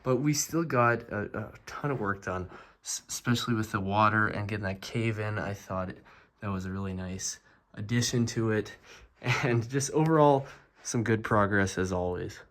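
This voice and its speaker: A young man with a congested nose, stuffed up from a cold, talks through his build log in a loose, rambling way. His voice sits on the low side. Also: moderate pace. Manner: relaxed and rambling, frequent "obviously" and "uh". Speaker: LEGO builder and channel host. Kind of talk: casual build-log narration.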